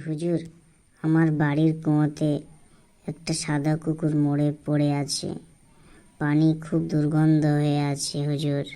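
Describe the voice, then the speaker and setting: A woman's voice: low voice, warm tone. A woman voicing a simple-minded, troubled village husband in an amateur cartoon, plaintive, puzzled and deferential. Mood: pleading. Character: simple-minded, troubled villager, a married man with a foul well.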